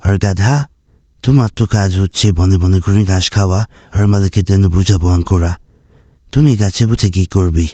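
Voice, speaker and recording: Man voicing a deep, mellow, commanding cartoon beast elder. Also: normal speed, no echo. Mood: stern, admonishing. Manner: authoritative, admonishing. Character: grave lion king and wise fox scholar. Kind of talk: animated fable dialogue.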